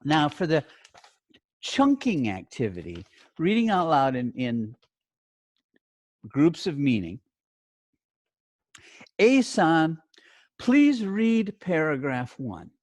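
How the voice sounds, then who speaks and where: Man teaching, very animated pitch, unhurried pace. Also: no room sound. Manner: deliberate, short phrases with frequent check questions. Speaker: teacher trainer giving a lesson. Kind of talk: instructional talk to learner teachers.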